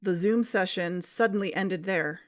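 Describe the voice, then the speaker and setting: A woman's voice: fairly low pitch, measured pace, natural voice. A woman playing a suspicious, determined investigator in a scripted investigative podcast drama. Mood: explanatory, assertive.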